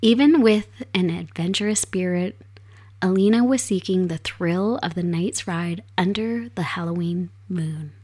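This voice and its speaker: A woman with a hoarse, raspy voice from a cold takes her time with every phrase. Her pitch sits a little low, and she talks softly and without hurry, as if reading a tale at home. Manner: soft, unhurried storyteller. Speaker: podcast host. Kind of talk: casual chat and storytelling. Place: home desk set up for streaming.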